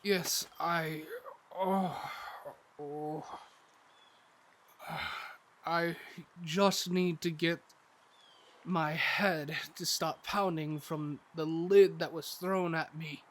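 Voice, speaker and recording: A man playing a good-hearted, earnest adventurer in a fantasy audio drama, with a halting delivery. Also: on the high side, fairly even intonation, slight background noise. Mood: pained. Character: good-hearted, thick-skulled married man on fantasy mission. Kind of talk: fantasy audio roleplay acting.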